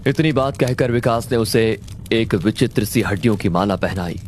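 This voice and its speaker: A man's voice: on the low side, normal speed, a little hiss or hum. A man narrating a scripted horror story as a storyteller. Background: loud background music.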